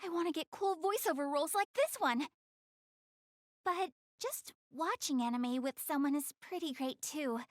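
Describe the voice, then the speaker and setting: A woman's voice: high voice, moderate pace, no room sound, clean studio sound, natural voice. A young woman playing a proud, easily flustered, cute anime goddess girl in a video game, speaking bashfully and defensively to the player. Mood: excited, gushing. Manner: bashful, defensive, stammering when embarrassed.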